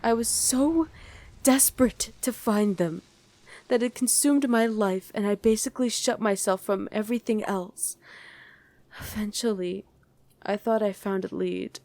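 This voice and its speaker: A woman voicing a guarded demon who was once a human mother, in an intimate ASMR roleplay with halting, emotional delivery. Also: mid-range voice, a little mellow, lightly acted. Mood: anguished. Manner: halting, emotional, speaks directly to one listener.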